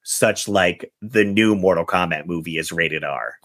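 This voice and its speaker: A man's voice: sits low, a little slow, fairly bright tone. A man hosting a podcast game, chatting casually and reading aloud with some jokey, theatrical emphasis. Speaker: podcast host running a guessing game. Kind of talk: casual podcast chat with read-out reviews.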